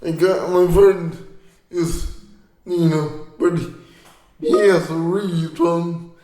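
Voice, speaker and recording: An Irish man talks slowly and haltingly, repeating words as he finds them. His voice is soft and mellow and sits a little high for a man. The recording has a roomy echo. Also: steady tone. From Ireland. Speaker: co-host. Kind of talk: casual chat.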